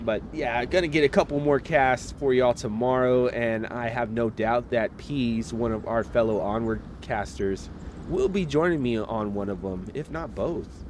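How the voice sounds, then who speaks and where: A man casting a live esports match, relaxed and chatty, talking straight to viewers while getting over a slight cold. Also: mid-range voice, unhurried, a little hiss or hum. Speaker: esports caster.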